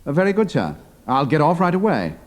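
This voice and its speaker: Lively, brisk young British airman. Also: a little high, no echo. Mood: brisk, dutiful. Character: young British flying officer working undercover, brisk and plucky. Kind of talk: audio drama acting.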